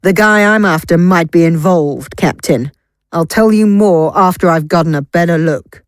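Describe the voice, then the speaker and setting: A woman's voice: fairly low pitch, normal speed, no echo, close to an everyday voice. A woman playing a confident, sardonic fantasy hunter, assertive and coolly witty, in an audio story. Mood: cool, defiant. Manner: cool, assertive, dry wit. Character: confident, sardonic female hunter on guild business.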